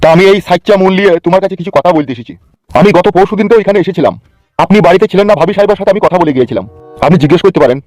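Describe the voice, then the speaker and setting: Man as hot-headed, foul-mouthed cartoon uncle, very rapid high-pitched bluster over music. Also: animated, a little mellow, no room sound. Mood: persuasive. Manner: blustering, crude slang, wheedling speeches.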